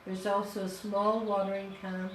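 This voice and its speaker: A woman reading a guided meditation in a measured way, pausing between short phrases. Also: medium pitch, level tone, noisy background. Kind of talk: slow guided meditation narration. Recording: roomy echo, distant voice, loud music. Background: music.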